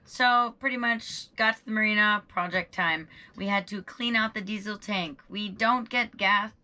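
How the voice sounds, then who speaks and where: A woman's voice: mid-range voice, moderate pace, sharp tone. A young woman sailing vlogger casually narrating what the crew did on the boat. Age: young adult. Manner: easygoing, chimes in with short agreements. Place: boat deck and cabin.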